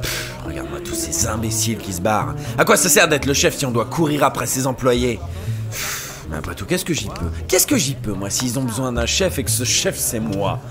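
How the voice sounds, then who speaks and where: An actor playing a vain, petulant start-up boss with a grandiose, whiny manner in a comedic audio drama. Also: male voice, medium pitch, sing-song, brisk, lightly acted. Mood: indignant. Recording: music behind the voice.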